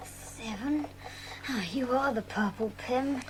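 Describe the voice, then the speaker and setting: A cautious, high-voiced young schoolboy. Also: female voice, slow, slight background noise, low-quality recording. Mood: sleepy reluctance. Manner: hesitant, reluctant, old-fashioned polite slang. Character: cautious, doubtful, grumbling young schoolboy sidekick. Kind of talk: dramatised children's audiobook acting.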